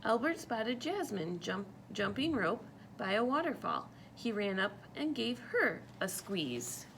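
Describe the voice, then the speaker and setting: A woman reading a picture book aloud for children, pausing to ask them questions about the story. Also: on the high side, very animated, a bit bright, a little hiss or hum, low-quality recording. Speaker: storytime reader.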